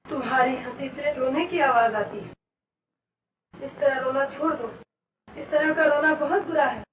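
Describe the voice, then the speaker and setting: A woman's voice: very high voice, animated, normal speed, recorded from a distance. An actress playing a humble, sorrowful young woman in old Hindi film melodrama, speaking in a plaintive, pleading way. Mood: worried. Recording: echoey, dull, noisy old film soundtrack.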